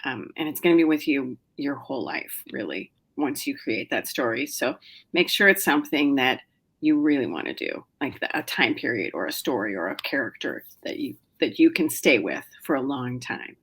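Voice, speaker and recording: Low-voiced chatty woman novelist. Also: lively intonation, moderate pace, husky. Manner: chatty, many fillers and restarts. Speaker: novelist guest. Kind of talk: casual interview answers.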